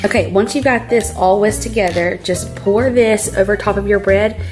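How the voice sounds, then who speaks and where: Young woman, hoarse from a cold, chatty and upbeat, home-kitchen vlogger, soft music bed. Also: mid-range voice, normal speed. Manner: chatty, bubbly, peppered with "like". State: scratchy voice from a cold. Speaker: cooking vlogger. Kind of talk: casual cooking tutorial.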